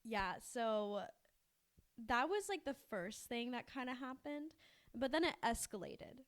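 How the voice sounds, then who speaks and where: High-voiced young woman telling a story. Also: expressive, measured pace. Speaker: podcast co-host. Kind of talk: casual storytelling chat.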